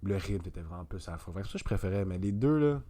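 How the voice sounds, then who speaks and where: Deep-voiced, mellow Quebec French man. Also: expressive, quick pace. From Canada. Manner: slangy, colloquial. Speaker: podcast guest. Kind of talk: casual film discussion.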